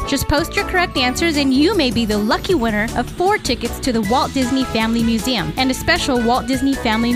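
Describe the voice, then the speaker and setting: A woman announcing a scripted promotion for a contest. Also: high voice. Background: music.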